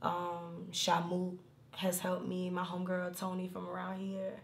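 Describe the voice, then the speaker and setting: A woman in her thirties with a raspy alto voice, chatting casually in an interview and rambling through stories with many fillers. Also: fairly low pitch, completely flat delivery, a little slow. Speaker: rapper and singer interviewed. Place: indoor room, seated on a leather couch.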